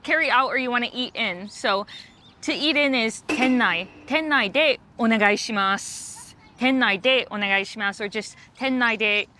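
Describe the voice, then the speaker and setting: Bright-voiced American woman explaining. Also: fairly high pitch, noisy background. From United States. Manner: friendly, explains patiently, laughs easily. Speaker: guest language guide. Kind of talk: casual explanatory chat.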